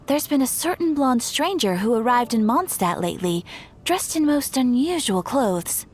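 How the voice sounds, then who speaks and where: A woman's voice: a little high, lively intonation, moderate pace, a little hiss or hum, close to an everyday voice. A woman playing a courteous, helpful young guild receptionist in an English game dub, speaking politely and formally with encouragement.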